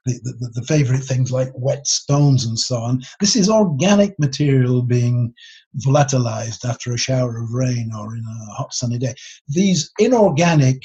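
A man explaining his subject informally as an expert being interviewed, in a husky voice. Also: medium pitch, lively intonation, moderate pace, warm tone. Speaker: expert interviewee, geology author. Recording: dull, lo-fi sound.

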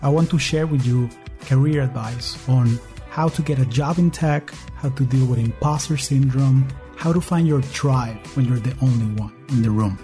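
A man from Venezuela, hosting a podcast interview in an earnest, measured way with some halting restarts. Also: medium pitch, dark tone, faint music behind. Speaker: podcast host.

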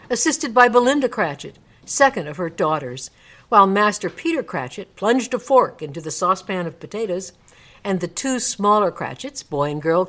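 A woman's voice: medium pitch, a bit bright. A woman narrator reading a classic story aloud in a dramatic scripted storybook style. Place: radio studio.

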